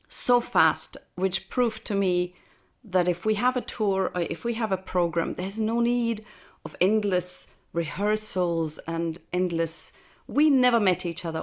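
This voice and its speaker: A woman from Austria speaking on a video call as a guest speaker, chatty and laughing often. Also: on the low side. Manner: chatty, laughs often, restarts mid-phrase. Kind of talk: casual spoken reflection. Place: home in Texas, early morning.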